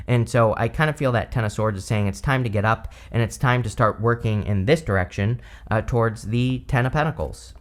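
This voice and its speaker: A man giving a relaxed, rambling tarot reading with lots of "you know" and "uh", his voice a bit hoarse from allergies. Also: on the low side, fairly quick, few pauses. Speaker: tarot reader. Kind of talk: casual tarot reading to camera.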